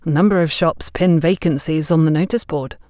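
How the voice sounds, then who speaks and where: A woman's voice: low voice, a little fast, dark tone, no echo, studio quality, natural voice. Woman playing a friendly, chatty young male guard. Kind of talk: audio story character dialogue.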